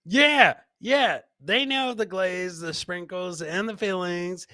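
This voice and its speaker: A man's voice: sits high, slow, sharp tone, dry recording, clean studio sound. A man hosting a live comedy show, riffing casually on clips in a rambling, profane, jokey way.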